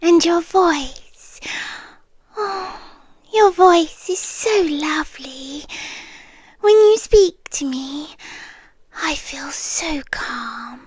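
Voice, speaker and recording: A woman voicing a possessive, obsessive hive queen in an audio roleplay, speaking slowly and intimately, often in a whisper, sweet and quietly threatening. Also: high voice, lively intonation, no room sound, exaggerated voice. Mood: teasing, sweetly menacing.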